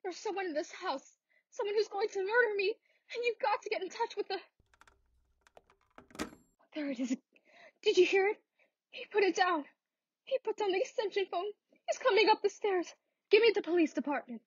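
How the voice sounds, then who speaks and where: An actress playing a nervous, demanding invalid wife who grows agitated, in an old-time radio thriller style. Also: female voice, very high-pitched, lively intonation, no echo, highs cut off. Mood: terrified, desperate. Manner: imperious, agitated, halting repetitions.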